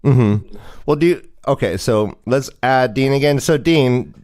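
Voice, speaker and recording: Clear-voiced man hosting casual sports chat. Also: mid-range voice, measured pace, darkish tone. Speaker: host of a fantasy football livestream.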